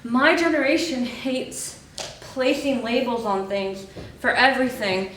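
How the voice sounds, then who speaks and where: A woman's voice: on the high side, a little slow, fairly bright tone, slight background noise. Young Mexican woman, American-sounding accent, chatty self-deprecating speaker, distant mic in an echoey hall. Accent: American-sounding English. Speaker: conference speaker, PhD researcher. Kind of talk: conference talk with jokes. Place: conference hall.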